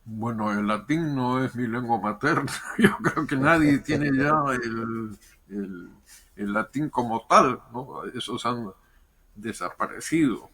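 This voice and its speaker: An older man, a historian and diplomat, giving measured, thoughtful interview answers with pauses and repeated words. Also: mid-range voice, expressive, a bit bright, slightly rough.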